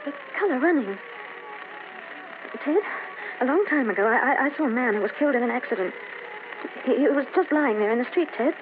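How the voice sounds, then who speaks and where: Expressive, high-voiced jealous radio-drama wife. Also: female voice, brisk. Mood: haunted, uneasy. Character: jealous, defensive young wife, newly moved into an uneasy house. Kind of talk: old time radio horror drama acting. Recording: noisy, tinny old radio recording.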